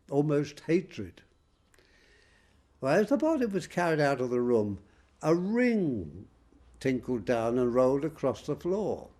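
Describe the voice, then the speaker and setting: An actor playing a wry Victorian police detective, recalling a case in a measured dramatic monologue. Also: male voice, mid-range voice, dark tone. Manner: wry, measured, emphatic repetitions. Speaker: actor introducing a story.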